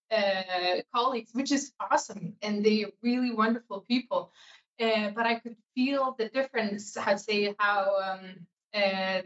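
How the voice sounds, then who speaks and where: A woman with a mid-range voice speaks as a panel guest, thinking aloud and pausing often to find the right words. The recording is slightly dull and lo-fi. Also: mid-range voice, fairly bright tone. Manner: reflective, searching for words. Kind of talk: conversational panel discussion. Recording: dull lo-fi audio.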